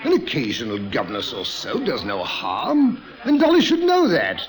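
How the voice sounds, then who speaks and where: An actor playing a hapless, self-excusing philandering aristocrat with theatrical indignation in an old radio drama. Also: male voice, mid-range voice, wildly swooping pitch, normal speed, rough recording. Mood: indignant. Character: hapless, flustered philandering male Russian aristocrat. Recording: old radio broadcast, crowd behind.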